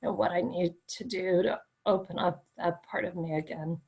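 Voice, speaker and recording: A woman's voice: lowish voice, steady tone, moderate pace, a little mellow, muffled recording. A woman with a crackly voice, a client repeating phrases back during a tapping session. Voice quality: crackly, raspy voice.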